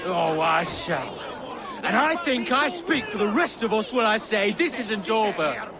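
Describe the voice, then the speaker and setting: An actor playing a defiant male merchant in a video game, loudly protesting with indignation. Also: high-pitched, very animated, a little slow. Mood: indignant. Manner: loud, protesting. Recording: noisy outdoor dock crowd ambience.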